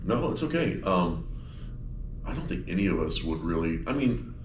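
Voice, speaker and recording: A low-voiced man jokes at his own expense as he chats. He sits a little back from the mic in a roomy space. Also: very animated, moderate pace, far from the mic. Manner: wry, self-mocking jokes. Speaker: podcast guest. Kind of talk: casual chat. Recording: echoey room, a bit off-mic, faint noise.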